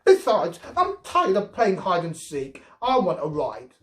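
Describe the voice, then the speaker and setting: A man voicing a bossy, playful eight-year-old girl in a storybook, shrill and squealing, with childish, demanding exclamations. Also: wildly swooping pitch, a little slow, roomy sound, heavily acted. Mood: sulky, accusing. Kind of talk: storybook character voice.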